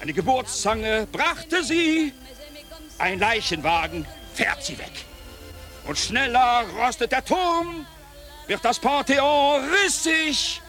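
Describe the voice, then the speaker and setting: A man's voice: very high-pitched, lively intonation, slow pace, bright, forceful tone, faint music behind, exaggerated voice. A man playing a theatrical, exasperated uncle in a radio drama, declamatory and dry by turns. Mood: grandly declaiming. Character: theatrical, exasperated uncle saddled with his niece.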